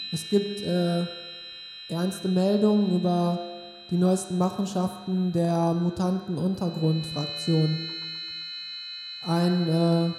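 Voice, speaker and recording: Jemand spielt stockende Führungsperson einer Genossengruppe, hallig. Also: medium pitch. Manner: halting, stumbling speech-making. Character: earnest, flustered leader of an anti-mutant comrades' group. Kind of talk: improvised amateur radio play acting. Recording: echoing hall, music behind.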